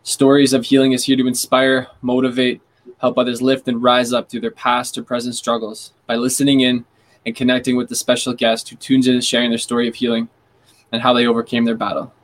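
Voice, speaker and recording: A man's voice: mid-range voice, monotone, fairly quick. A man hosting a podcast, reading a scripted introduction and reacting with enthusiasm. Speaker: podcast host.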